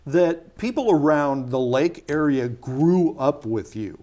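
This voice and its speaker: Chatty TV host, higher voice. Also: male voice, expressive, slow pace, dark tone. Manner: friendly, chatty. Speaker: TV show host. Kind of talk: casual interview chat.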